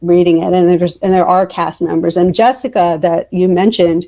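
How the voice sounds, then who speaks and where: A woman's voice: fairly low pitch, steady tone, normal speed, mellow, highs cut off. A woman chatting candidly and emphatically as an author being interviewed. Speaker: guest, author. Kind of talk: casual interview conversation.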